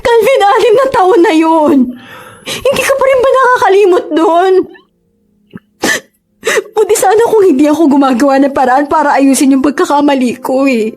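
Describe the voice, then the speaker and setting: High-pitched, emotional young mother played by an actress. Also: moderate pace, darkish tone. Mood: tearful, exasperated. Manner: emotional, tearful, pleading. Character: hurt, remorseful young mother pleading with her partner. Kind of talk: Filipino radio drama acting.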